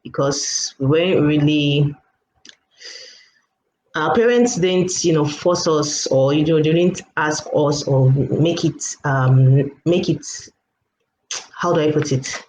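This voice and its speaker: A woman's voice: sits low, takes it slowly, darkish tone. Hesitant Nigerian-raised woman, echoey room. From Lagos State, Nigeria. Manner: hesitant, many fillers and laughs. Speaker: guest, author and writing coach. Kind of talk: casual interview chat. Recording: echoey room, faint background noise.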